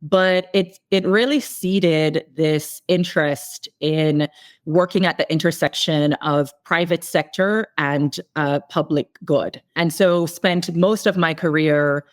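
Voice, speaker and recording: A woman's voice: low voice, slow pace, dry recording, clean studio sound. A woman from Jamaica, a managing director, recounting her career path in an interview. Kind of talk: podcast interview.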